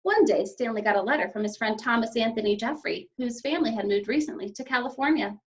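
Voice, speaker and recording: A woman teacher reading a children's story aloud and explaining it to young pupils in an expressive way. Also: fairly high pitch, fast. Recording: slightly dull, lo-fi sound.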